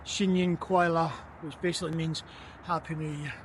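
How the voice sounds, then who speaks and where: A man with a high voice talks in a reflective, unhurried way, repeating words as he works through his thoughts. He is walking along a city street at night, with faint traffic hum behind him. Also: little pitch movement. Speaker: vlogger.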